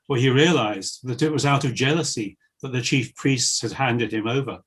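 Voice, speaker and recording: Husky male scripture narrator. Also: medium pitch, a bit bright. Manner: measured, formal. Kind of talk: solemn scripture reading.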